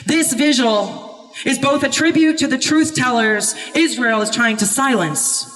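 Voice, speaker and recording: A woman activist delivering an impassioned protest speech at a vigil, speaking in an emphatic, deliberate, declamatory way. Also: sits high. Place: public vigil gathering, speaking to a crowd. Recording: echoey amplified speech from a distance.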